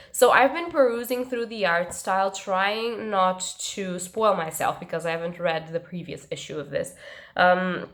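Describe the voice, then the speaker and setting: A woman vlogging about books in a casual, rambling, self-mocking way, her voice congested and coming through her nose from allergies. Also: lowish voice. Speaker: book vlogger. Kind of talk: casual chat to camera.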